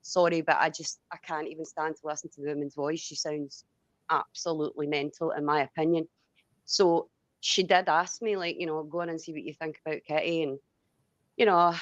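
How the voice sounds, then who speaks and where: A woman's voice: low voice, no room sound, muffled recording. A Scottish woman venting in a casual, blunt, rambling way with plenty of swearing. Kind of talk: casual heated venting chat.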